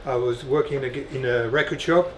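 A middle-aged man from France, a guitar collector, telling animated stories with frequent laughter in a dim indoor room. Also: medium pitch, fast talker, a little hiss or hum. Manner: animated anecdotes, laughing often. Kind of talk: storytelling interview. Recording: echoey room.

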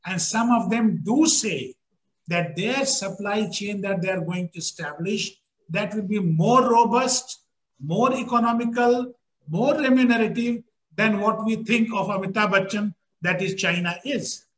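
A man in his fifties with an Indian accent and a high-pitched voice. He talks as a government panelist on a video call, restating points with emphasis. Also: a little slow, poor audio. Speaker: government policy official, panelist. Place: small office, indoors. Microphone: video call, computer mic at desk.

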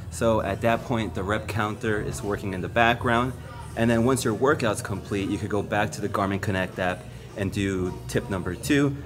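A man in his thirties, a fitness vlogger, talking viewers through tips in a relaxed, explanatory way while filming himself in a large gym. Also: on the low side. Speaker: fitness YouTuber. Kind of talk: casual how-to vlog. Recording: music bed under voice. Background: background music.

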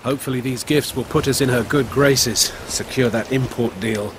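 Actor as a snooty merchant, noisy game audio. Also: male voice, medium pitch, fairly even intonation, moderate pace, natural voice. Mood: impatient. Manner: condescending, impatient. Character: snobbish male merchant, traveling to a wedding. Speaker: game character. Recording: game audio with outdoor noise.

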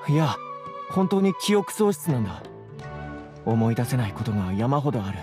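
Man as a young game character, medium pitch, earnest, apologetic, polite, subdued, soft background music. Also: sing-song, moderate pace, close to an everyday voice. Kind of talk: Japanese anime game voice acting.

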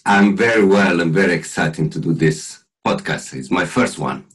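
A man's voice: sits low, lively intonation, slightly rough. A middle-aged Italian man with an Italian accent, chatting casually as a podcast guest over a video call from a room at home. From Italy. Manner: jokey, chatty, with filler pauses. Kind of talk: casual interview talk.

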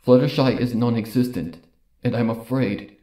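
A man voicing a regal, sorrowful female pony ruler in a halting, grave manner for a story. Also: medium pitch, mellow, reverberant, natural voice. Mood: sorrowful, apologetic. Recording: music behind the voice.

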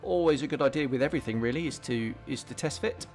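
A man talks through a hobby build in a relaxed, explanatory way, pausing on ums and restarting his sentences as he works. His voice sits at a middle pitch, and soft music plays faintly beneath him. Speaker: scale modelling channel host. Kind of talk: relaxed hobby tutorial voice-over.

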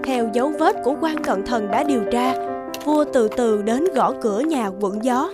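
A woman's voice: a little high, normal speed. A woman reading scripted storybook narration for a folk tale. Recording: loud music under narration. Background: loud music.

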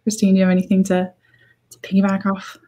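A slightly raspy, congested-sounding woman answering questions informally as a recruiting manager on a video-call panel. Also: medium pitch, monotone, fast talker, warm tone. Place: indoor room, video call.

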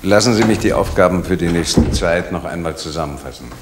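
A man's voice: low-pitched, fairly quick, heavy background noise, close to an everyday voice. An actor playing a strict middle-aged male postal official and father, stern and commanding, in 1970s radio drama style. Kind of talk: 1970s East German radio drama acting.

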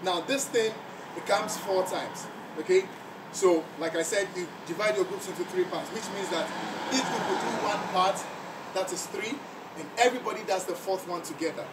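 A man's voice: high voice, moderate pace. A male dance instructor counting beats aloud and explaining steps, chanting along with the music. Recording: roomy, far from the mic, loud background noise.

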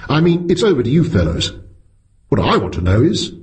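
A man's voice: fairly high pitch, very animated, brisk, voice pushed up. A man voicing a plain-spoken working man and amateur astronomer with a folksy, direct, deferential manner, in a story reading. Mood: earnest.

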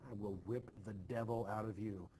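A man playing a stern, hot-tempered farmer and head of household in a period play, giving gruff, curt commands. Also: lowish voice, steady tone, brisk, warm tone, a little hiss or hum, close to an everyday voice. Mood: angry, stern. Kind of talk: expressive play reading.

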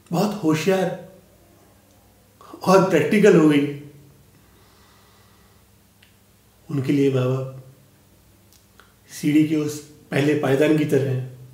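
Casting: male actor as dignified, hurt elderly father, mellow highish voice, solemn film-style speech. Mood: wounded, grave. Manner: solemn, measured, earnest, with long pauses. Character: aggrieved, dignified aging father, a humble author addressing an audience. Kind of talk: dramatic film monologue, delivered as a formal speech. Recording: echoey room.

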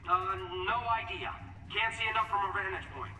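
An actor playing a harried television field reporter, giving an urgent live report in a video game. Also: male voice, high-pitched, steady tone, a little fast, sharp tone, a little hiss or hum. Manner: urgent, raised voice. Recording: tinny distant TV broadcast, echoing.

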